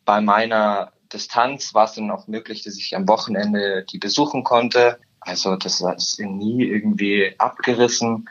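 A man's voice: on the low side, steady tone, a little slow, hardly any pauses, fairly bright tone, dull audio. A nineteen-year-old man from Upper Bavaria, a guest on a podcast, answering with many hesitant fillers. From Upper Bavaria, Germany. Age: teens. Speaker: guest, insurance trainee. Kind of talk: podcast interview.

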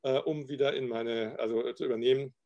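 A man in his fifties with a light Bavarian accent talks through his story as an interview guest. His voice sits at an ordinary middle pitch. He is chatty and unhurried, and he fills his pauses with soft hesitations. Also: steady tone, dull audio. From Upper Bavaria, Germany. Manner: chatty, hesitant with many fillers. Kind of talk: casual interview.

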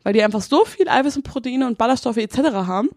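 A young Berlin woman co-hosting a podcast, chatting in lively, slangy talk. Her voice sits a little high and she laughs easily. The sound is close and dry with no room echo. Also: rapid-fire. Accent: Berlin German. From Berlin, Germany. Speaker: podcast co-host. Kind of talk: casual chat.

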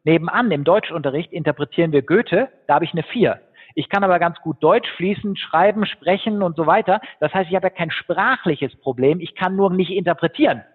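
A man speaking as a guest in an interview, telling stories quickly with fillers. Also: high-pitched, breathy edge. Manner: fast, animated storytelling with fillers. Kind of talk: conversational interview. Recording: tinny phone-like line with long reverb.

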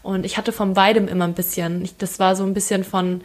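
A woman's voice: mid-range voice, level tone, brisk. A woman from Thailand, a guest in a home kitchen, telling stories in a frank, chatty way with fillers. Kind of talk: casual conversation.

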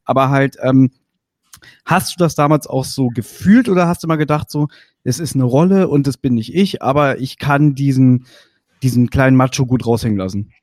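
A man's voice: mid-range voice, moderate pace, a little mellow, dry recording, clean studio sound. A man co-hosting a podcast, asking long, jokey, rambling questions in casual chat. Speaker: podcast co-host.

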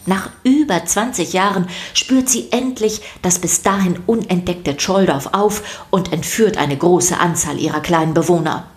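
A woman with a slightly low voice narrates a children's audio story. She reads from a script expressively, addressing her listeners directly as a storyteller would. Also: unhurried, hardly any pauses, soft background music.

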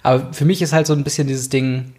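A man's voice: mid-range voice, level tone, fast, darkish tone. A young man co-hosting a podcast, chatting casually and rambling with lots of fillers. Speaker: podcast co-host. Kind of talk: casual chat.

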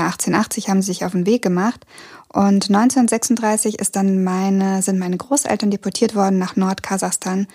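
Brief: female podcast co-host, 30s, from Kazakhstan; friendly, lively interviewer. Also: mid-range voice, gravelly, slightly rough. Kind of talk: podcast conversation, asking questions.

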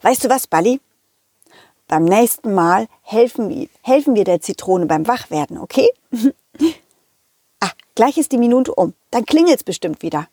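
A woman voicing a cheerful, excitable, loyal dog who tells stories to children in a playful, chatty way. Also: medium pitch, moderate pace, slightly rough, no echo, studio quality. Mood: curious, amused. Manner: playful, chatty, giggly, addressing children directly. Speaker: puppet character host. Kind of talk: children's audio story narration.

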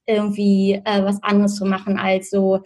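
A woman in her thirties from Berlin, a guest telling her story in a relaxed, chatty way, with many fillers and restarts and frequent laughs. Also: medium pitch, normal speed. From Berlin, Germany. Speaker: interview guest. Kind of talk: casual conversational storytelling. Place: in Australia.

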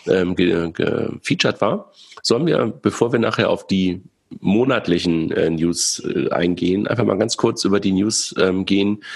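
A man's voice: deep voice, creaky, darkish tone, slightly rough. A man hosting a podcast in casual chat, stuffed-up with a cold, hesitant and laughing often. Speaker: podcast host.

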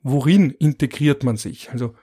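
Musing podcast host reading statistics. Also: male voice, medium pitch, normal speed, warm tone, breathy edge. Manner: reads out statistics, musing and wry. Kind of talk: conversational podcast discussion.

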